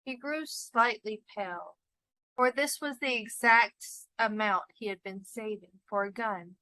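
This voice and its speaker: Woman reading a story out loud, really slow and dramatic, high bright voice, dry sound. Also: no room sound, poor audio. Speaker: narrator reading a short story. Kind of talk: expressive storybook reading for students.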